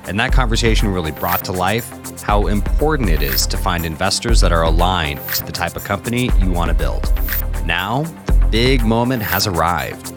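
A man's voice: sits low, expressive, normal speed, over music. A man hosting a podcast, reading an upbeat scripted countdown. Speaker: podcast host.

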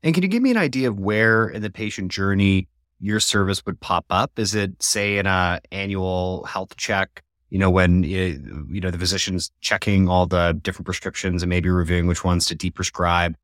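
Deep voiced guy hosting a podcast, chatty, trips over his words a bit, dry mic. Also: moderate pace, a bit bright, no echo. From Colorado. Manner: friendly, searching for words with restarts. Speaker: podcast host. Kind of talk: podcast interview.

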